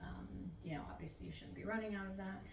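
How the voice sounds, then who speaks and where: A woman's voice: mid-range voice, fast, creaky. A middle-aged woman, a nurse practitioner, answering interview questions in an easygoing, talkative, slightly meandering way. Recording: roomy, distant mic, faint noise.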